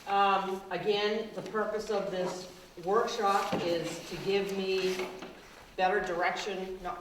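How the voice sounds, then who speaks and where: A woman who is the town manager, running a public workshop in a loud, projecting, self-assured voice. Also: mid-range voice, little pitch movement, slow. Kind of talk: public meeting talk. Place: town meeting room. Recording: echoey hall, far from mic, faint noise.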